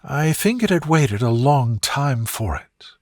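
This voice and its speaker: A man voicing an affectionate, gently teasing young wife in a bedtime story, with a soft lilt. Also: medium pitch, big pitch swings, a little slow, no room sound, clean studio sound. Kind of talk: sleep story character dialogue.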